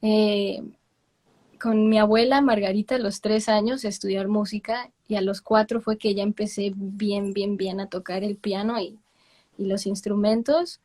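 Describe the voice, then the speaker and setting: Lively young woman with a Mexican accent. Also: mid-range voice, unhurried. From Miami, with long stays in Mexico. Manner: lively storyteller, laughs often, mixes in English words. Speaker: guest. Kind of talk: casual interview chat.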